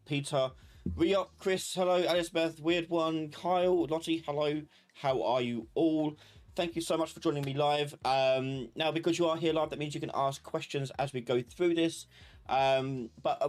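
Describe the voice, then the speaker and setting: Man teaching a casual live class. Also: medium pitch. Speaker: live stream tutor. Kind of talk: casual live software tutorial.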